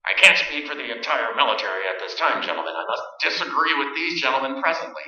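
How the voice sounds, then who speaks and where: A man's voice: medium pitch, big pitch swings, fast, sharp tone, exaggerated voice. A man playing an evasive, stubborn military officer who hedges formally, in a staged radio drama. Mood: defensive, flustered. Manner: hedging, flustered, formal. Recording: very echoey, dull, distant stage pickup.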